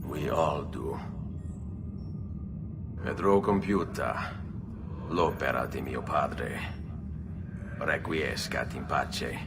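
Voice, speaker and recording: An actor playing a grave, steely Italian assassin in a video game, speaking in a measured, solemn way with an Italian accent. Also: male voice, a bass voice, over music, exaggerated voice. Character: grave, steely male assassin avenging his father, Italian. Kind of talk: dramatic video game acting. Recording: clear background ambience noise.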